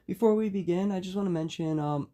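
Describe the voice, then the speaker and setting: A woman with a raspy, hoarse voice from a cold, giving a measured spoken lecture as host of her own channel. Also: fairly low pitch, dark tone. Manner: measured, explanatory delivery.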